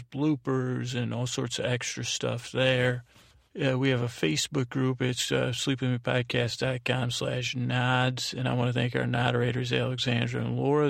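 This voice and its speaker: A man with a very creaky, gravelly voice hosts a podcast. He talks casually and loosely, often restarting phrases and drifting between asides. The sound is dry, with no room around him. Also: mid-range voice, no room sound. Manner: halting, meandering, repeats and restarts phrases. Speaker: podcast host. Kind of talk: rambling casual monologue with thank-yous.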